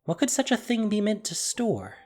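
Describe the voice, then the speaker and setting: A woman's voice: medium pitch, very animated, normal speed, a little mellow. A woman narrating a fantasy story in a solemn, emphatic, dramatic scripted style. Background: music.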